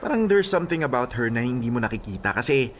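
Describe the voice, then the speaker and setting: An actor with a Filipino accent playing an easygoing young office buddy in a radio drama, teasing and coaxing. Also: male voice, medium pitch, very animated, fast talker, close to an everyday voice. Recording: music bed underneath.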